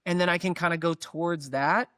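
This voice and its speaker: A middle-aged man, a guest dancer, talking in a relaxed, thoughtful way with lots of "like" and "you know". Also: on the high side, brisk, no echo, studio quality. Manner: relaxed, thoughtful, uses "like" a lot. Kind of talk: reflective interview talk.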